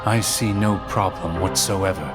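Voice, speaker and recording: An actor playing a cold, stern villainous second-in-command, formal, detached and dismissive, in a video game cutscene. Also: male voice, sits low, steady tone, normal speed, over music, natural voice.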